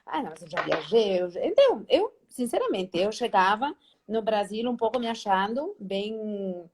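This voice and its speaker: A middle-aged woman from Spain with a Spanish accent, a guest on a video-call interview, telling animated stories and acting out old conversations. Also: mid-range voice, normal speed. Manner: animated, acts out past dialogues, frequent "né?" tags. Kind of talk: casual storytelling interview.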